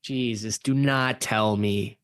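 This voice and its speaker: A man's voice: on the low side, fairly even intonation, slow pace, fairly bright tone, clean tone. A man playing an exasperated, protective teenage older brother in a radio play, slangy and defensive. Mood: wary, annoyed.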